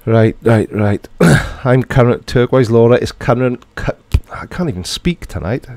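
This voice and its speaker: A man's voice: mid-range voice, moderate pace, darkish tone, dry recording. A middle-aged man from Wales with a Welsh accent, casually chatting and reading viewer comments aloud on a livestream. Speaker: livestream host.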